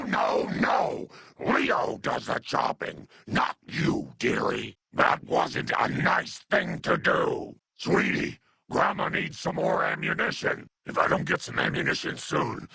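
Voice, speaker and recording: This is a video game's monstrous old grandmother, acted out by a man, doting and unhinged. The voice is extremely husky and breathy, full of gravel, and it swings wildly up and down in pitch. Sweet cooing pet names sit right beside scolding threats. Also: bright, forceful tone, no room sound, heavily acted. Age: older. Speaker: video game companion character. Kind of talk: video game character acting.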